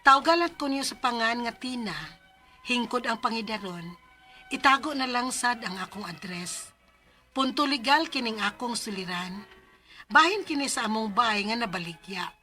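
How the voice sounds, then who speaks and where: High, bright-voiced earnest daughter. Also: female voice, animated, moderate pace, faint music behind. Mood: earnest, formal. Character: earnest adult daughter, a letter writer, practical and caring. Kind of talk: radio drama acting.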